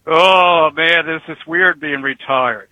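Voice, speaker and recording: A man's voice: a little high, slow, sharp tone, dry recording, low-quality recording. A man in his early sixties, a retired truck driver calling in, talking in a rambling, folksy way and chuckling between thoughts. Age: 60s. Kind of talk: casual phone-in chat.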